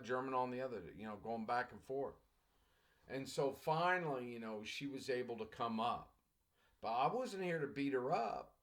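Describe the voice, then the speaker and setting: Chatty, repetitive male English teacher. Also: mid-range voice. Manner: conversational, repetitive, talks directly to class. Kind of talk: recorded class lecture.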